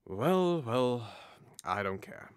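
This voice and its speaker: A man's voice: medium pitch, sing-song, slow, smooth, dry recording, studio quality. A man playing a hot-headed, mocking young nobleman in an audio drama, with a theatrical, taunting delivery. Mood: scornful, provoking.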